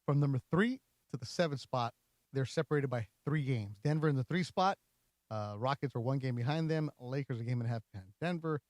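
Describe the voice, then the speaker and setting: A man hosting a sports talk radio postgame show, conversational and energetic, with playful asides to listeners. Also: mid-range voice, a little fast, no room sound.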